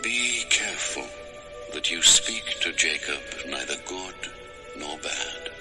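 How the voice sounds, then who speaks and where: An actor voicing God as a solemn, commanding figure in a dramatized scripture reading. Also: male voice, very deep voice, expressive, music in the background, exaggerated voice. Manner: slow, weighty, authoritative.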